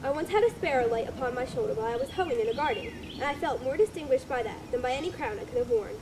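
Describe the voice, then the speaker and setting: A dry, teasing gentleman writer of an earlier century, played by a woman in an amateur reading. The voice sits high and moves fast. Background noise runs under the whole recording. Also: expressive. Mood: wry. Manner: wry, teasing, formal old-fashioned speech. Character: dry, skeptical older male writer.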